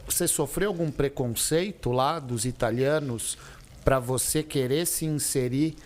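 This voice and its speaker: A man hosting a casual podcast who asks slow, hesitant questions full of repeated fillers. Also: medium pitch. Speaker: podcast host.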